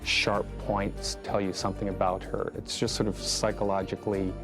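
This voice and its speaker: A man's voice: on the low side, flat delivery, moderate pace. A man speaking as a film director in an interview, explaining thoughtfully and stressing points by repeating words. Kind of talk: documentary interview, reflective explanation. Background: music.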